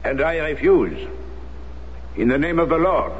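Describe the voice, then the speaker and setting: An actor playing a principled, unbending priest and former Resistance fighter in a radio drama, with a grave, deliberate manner. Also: male voice, on the high side, sing-song, over loud music. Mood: solemn refusal. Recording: muffled old radio recording, faint noise.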